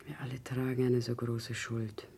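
Radio play: tired, zealous common woman, gravelly edge, solemn and impassioned delivery. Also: very deep voice, flat delivery, normal speed, dark tone, exaggerated voice. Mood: weary, resolute. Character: exhausted, fervent woman of the people on a crusade. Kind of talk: German radio play acting.

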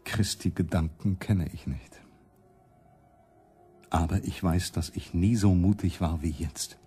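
An actor playing a defiant, self-important religious reformer in a German radio play, with an emphatic, declamatory delivery. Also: male voice, low-pitched, measured pace, warm tone, husky, soft background music, exaggerated voice. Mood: solemn, calculating. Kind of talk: German radio drama acting.

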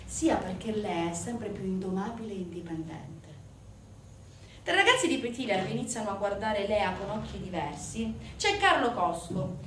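A woman, a stage actress, narrating a theatrical monologue in a dramatic, emphatic way with deliberate pauses. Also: mid-range voice. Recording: roomy, distant theatre recording, background noise.

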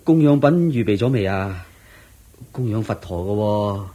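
A man's voice: on the low side, animated, a little slow, warm tone, slightly rough. An actor playing a poor, devout, worried young husband and father in a radio drama, sighing and earnest, with a Hong Kong Cantonese accent. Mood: gentle. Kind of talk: Cantonese-language Buddhist radio drama acting.

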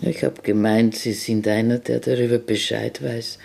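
A man's voice: mid-range voice, flat delivery, moderate pace, creaky, a little mellow, voice pushed up. A man voicing an ancient, bitter village innkeeper woman who speaks bluntly in a radio play. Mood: grim. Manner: blunt, curt, grim dialect-tinged speech. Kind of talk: German radio play acting.

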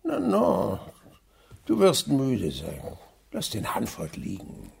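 An actor playing a sly, blustering old provincial miser in a radio drama, muttering and exclaiming theatrically. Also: male voice, on the low side, huge pitch swings, slow, vocal fry, slight background noise, full character voice. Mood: jovial, muttering. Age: older.

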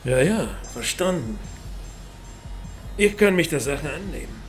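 An actor playing a gruff ex-soldier for hire in a radio drama, terse and matter-of-fact. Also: male voice, fairly high pitch, animated, unhurried, soft background music. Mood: businesslike. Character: gruff, blunt ex-soldier hired gun.

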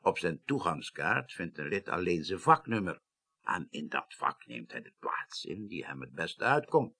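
An actor playing a self-important, inquisitive senior official, articulate and formal, in a Dutch radio drama. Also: male voice, sits low, big pitch swings, moderate pace, creaky, sharp tone, husky, exaggerated voice. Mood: curious, affable.

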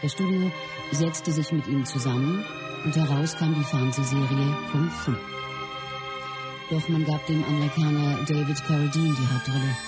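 A woman's voice: low voice, steady tone, normal speed, over loud music, natural voice. A woman playing a close companion who narrates a star's life story in a scripted radio play. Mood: reflective.

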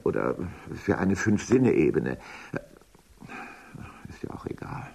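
An actor playing a capricious, cynical, world-weary official in a science fiction radio drama, moody, rambling and muttering, with a gravelly, husky voice. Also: male voice, low voice, very animated, slow, a little mellow, exaggerated voice. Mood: resigned. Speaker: high-ranking boss.

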